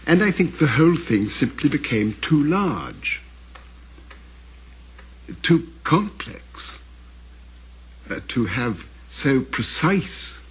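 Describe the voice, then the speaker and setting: An older Englishman speaks very hoarsely and at a slow, deliberate pace. His phrasing is scholarly and halting, often circling back to correct itself as he reflects in an interview. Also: mid-range voice, rough recording. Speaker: interviewee, author's son recalling his father.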